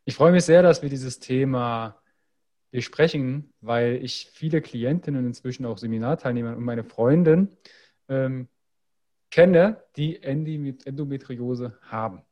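A man's voice: medium pitch, unhurried, dark tone. A man hosting a health podcast, reading an upbeat promotional intro and then interviewing a guest. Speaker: podcast host and health coach.

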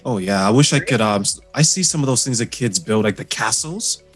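A man's voice: mid-range voice, fairly quick, soft background music. A man hosting a podcast, chatting casually and chuckling over a video call. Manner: relaxed, chuckling, conversational. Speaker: podcast host. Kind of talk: casual interview chat.

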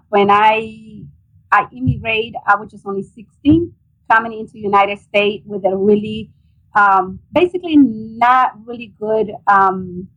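Guest: earnest Salvadoran-accented woman, forties, raspy, muffled call audio. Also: mid-range voice, a bit bright. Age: 40s. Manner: earnest, hesitant with frequent ums. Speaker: guest, nonprofit director. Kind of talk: interview answers.

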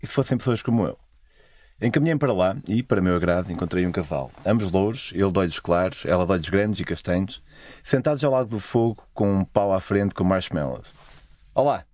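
A man's voice: low-pitched, a little fast, darkish tone, dry recording. A man with a European Portuguese accent narrating a scripted, literary first-person travel story. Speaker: narrator of own travel stories.

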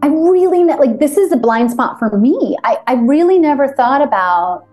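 A woman hosting a casual interview, talking animatedly with quick asides and frequent affirmations. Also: high voice, expressive, a little mellow, soft background music. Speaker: podcast host.

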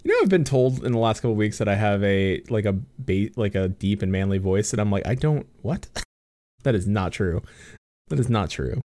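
A man's voice: lowish voice, lively intonation, brisk, darkish tone, no echo, clean studio sound. A young man co-hosting a casual gaming podcast over a voice call. He giggles a lot, swears freely and uses many fillers. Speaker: podcast co-host. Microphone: computer mic on voice call.

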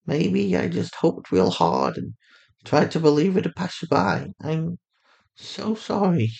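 A man voicing a gentle, high-pitched sorrowful mother. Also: sing-song, takes it slowly, creaky, heavily acted. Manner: tender, soothing, endearing. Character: gentle, loving, sorrowful mother of a teenage girl.

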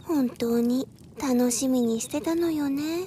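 A woman acts out a motherly, mature character in a radio play. She is soothing and sympathetic, speaking slowly in a high voice with faint forest ambience behind. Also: warm tone, slight background noise. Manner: soothing, advising, feminine phrasing. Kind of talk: audio drama acting.

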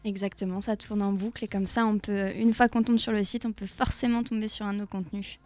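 A woman's voice: mid-range voice, level tone, fast talker, darkish tone. A woman answering briefly and agreeably as a guest in a live radio interview. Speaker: guest, association member. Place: radio studio.

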